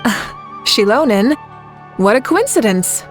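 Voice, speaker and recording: Composed leader, slightly high voice. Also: female voice, sing-song, unhurried. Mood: friendly. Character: composed, confident young woman leader, kindly and resolute. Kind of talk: animated video game voice acting. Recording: music behind the voice.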